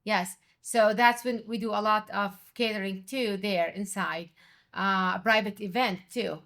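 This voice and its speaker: A middle-aged woman from Jordan, a restaurant owner and chef, speaking English as an in an animated, conversational interview. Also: medium pitch, measured pace. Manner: animated, quotes others with raised voice.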